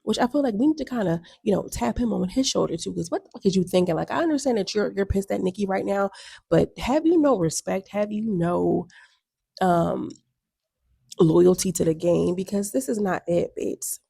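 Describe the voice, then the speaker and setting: Sassy gravelly-voiced woman vlogger. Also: medium pitch, normal speed. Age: 30s. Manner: sassy, blunt, animated with frequent ums and asides. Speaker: music commentary vlogger. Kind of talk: casual opinion commentary.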